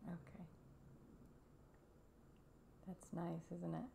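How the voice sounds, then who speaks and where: A woman in her thirties speaking softly and gently in an ASMR personal-attention roleplay, close up in a room at home. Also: lowish voice, completely flat delivery, drawn-out words, creaky, slight background noise. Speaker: ASMR host playing a barber giving a facial. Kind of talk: soft-spoken ASMR roleplay.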